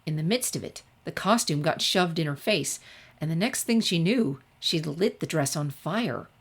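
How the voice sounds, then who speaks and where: A woman's voice: sits low, expressive, moderate pace, husky. A woman narrator reading a scripted fan-fiction story expressively.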